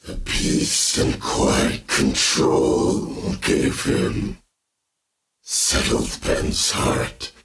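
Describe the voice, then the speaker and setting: Sci-fi audio drama inner voice: rock-bottom pitch, crawling pace, solemn gravelly whisper, ominous. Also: male voice, monotone, background noise, exaggerated voice. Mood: ominous, controlled. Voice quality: very deep gravelly whispery rasp. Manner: slow, deliberate, solemn delivery. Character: ominous male inner voice narrating a hive-minded man's story. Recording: echoing voice under loud music. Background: loud music.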